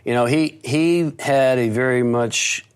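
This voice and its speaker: A man's voice: mid-range voice, a little slow, clean tone. A middle-aged man from the United States, an interview guest, telling his life story in a confident, reflective way with some stuttered restarts.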